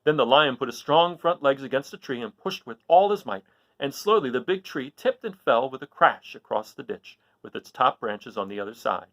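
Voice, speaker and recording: Animated male storyteller with swooping pitch. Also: moderate pace, no room sound. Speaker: storyteller reading aloud. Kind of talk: expressive children's story narration.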